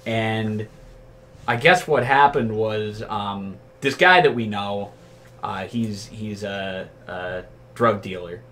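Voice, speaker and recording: A man's voice: fairly low pitch, slow, pushed and bright, slight background noise. A man telling casual anecdotes among friends in a rambling, profane, incredulous way. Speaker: storyteller.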